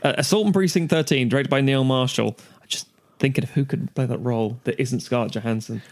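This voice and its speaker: A man's voice: mid-range voice, fast, a little mellow. A man chatting casually as a panelist on a podcast about films and games.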